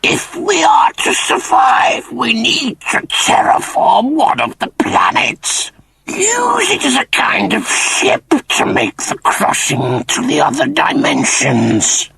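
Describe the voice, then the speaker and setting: Shrill, creaky, officious chimp creature. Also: male voice, wildly swooping pitch, pushed and bright, no echo, exaggerated voice, rough recording. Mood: officious, grandiose. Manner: slow, deliberate, pompous pronouncements.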